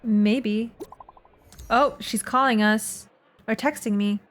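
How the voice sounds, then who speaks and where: A woman's voice: a little high, steady tone, slow. A woman game streamer giving casual, slangy, playful commentary during a playthrough.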